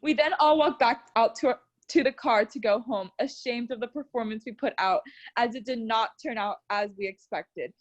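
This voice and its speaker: A woman narrates a scripted story out loud. Her voice sits fairly high, and she leans into the drama with an earnest delivery. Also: normal speed, dull audio. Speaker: storyteller reading a bedtime story aloud. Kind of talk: scripted narration read aloud.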